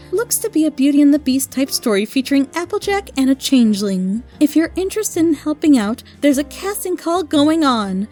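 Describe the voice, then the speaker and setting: A woman with a high, soft-edged voice narrates a scripted review. Her pitch moves in animated swings while her phrasing stays measured and careful. Faint music plays behind her as she hosts. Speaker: host and reviewer of a monthly audio drama show.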